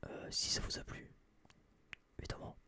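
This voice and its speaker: Whispering young Frenchman, close and breathy. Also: moderate pace, bright, forceful tone, dry recording. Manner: casual, slangy, rambling. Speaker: ASMR video creator. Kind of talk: whispered ASMR film review. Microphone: close binaural microphone.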